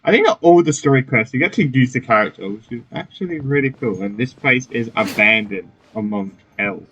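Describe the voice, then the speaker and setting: A man's voice: mid-range voice, animated, a little fast, a bit bright, lo-fi sound, a little hiss or hum. A man giving casual commentary as he plays a game, muttering to himself with short reactive exclamations. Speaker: gamer playing through a game.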